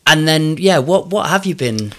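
A man's voice: on the high side, expressive, normal speed, a bit bright. A man hosting a podcast, asking probing questions in a chatty way and thinking aloud as he goes. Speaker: podcast host. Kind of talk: casual interview chat.

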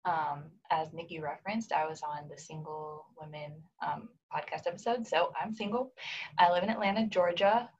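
A woman's voice: low-pitched, normal speed, highs cut off, slight background noise. A woman in her twenties, a podcast guest, telling her background casually with fillers and light laughs. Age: 20s. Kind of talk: casual conversational storytelling.

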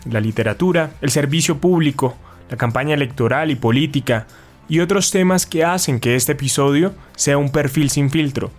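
Host: man, slightly high voice, conversational questioning, hesitant fillers. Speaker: podcast host. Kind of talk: interview hosting.

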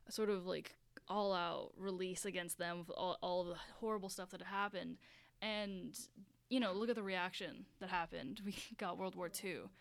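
A woman with a gravelly, slightly low voice explains a point in a casual group discussion. She speaks in a measured way, and the sound is dry and close. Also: no room sound. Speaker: discussion participant.

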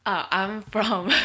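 A woman's voice: mid-range voice, pushed and bright, slightly rough. A woman in her twenties, from Korea, chatting as a podcast guest, giggly and hesitant with lots of fillers. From South Korea. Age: 20s. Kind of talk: casual interview chat.

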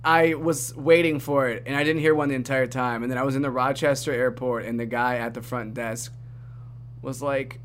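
A man hosting a podcast in a casual, upbeat way, chatty and quick to laugh. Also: medium pitch, steady tone, a bit bright. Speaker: podcast host. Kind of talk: casual chat.

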